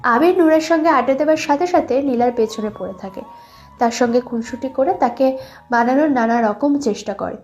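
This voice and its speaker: A woman's voice: sits high, normal speed, a little mellow, highs cut off. A young woman reading scripted narration for a dramatized love story. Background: background music.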